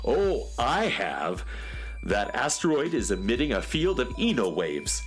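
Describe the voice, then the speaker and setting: A fussy, eccentric space handyman, played by a man, talks in precise, theatrical phrases that swing very widely in pitch. He is an earnest inventor in a children's radio adventure, with music behind him. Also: huge pitch swings, measured pace. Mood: fascinated. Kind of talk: children's radio serial acting.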